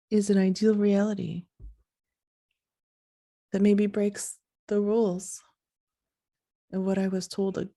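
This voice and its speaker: A woman hosting a podcast in a soft, sleepy voice, talking in a slow, halting, reflective way. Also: mid-range voice. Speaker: podcast host.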